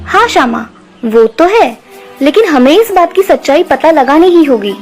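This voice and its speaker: A woman playing a bright, curious and determined village girl in a cartoon story. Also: high voice, expressive, moderate pace, soft background music. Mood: earnest, determined.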